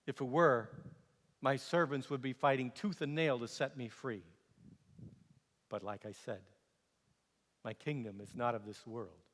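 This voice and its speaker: Holy prisoner for stage monologue, played by male storyteller: hushed, calm, grave, measured, in long hall reverb. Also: medium pitch, natural voice. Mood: calm, solemn. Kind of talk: quoted part in dramatic monologue.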